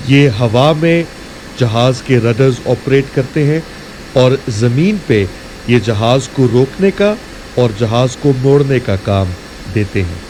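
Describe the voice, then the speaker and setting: A man's voice: medium pitch, unhurried, poor audio. A man with a Pakistani accent narrating a flight simulator tutorial in a casual instructional way. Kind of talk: casual instructional narration mixing explanation and radio phraseology. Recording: steady clear background hum and noise.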